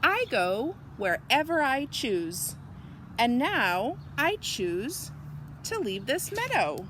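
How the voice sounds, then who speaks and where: A woman voicing a carefree, independent male butterfly in a children's storybook, airy and self-assured with drawn-out phrases. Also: sits high, sing-song, a bit bright, a little hiss or hum, exaggerated voice. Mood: breezy, self-assured. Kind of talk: children's storybook read-aloud.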